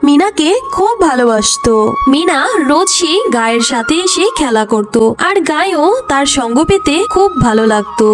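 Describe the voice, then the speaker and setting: Casting: female storyteller, high voice, scripted cartoon fairy-tale narration with music behind.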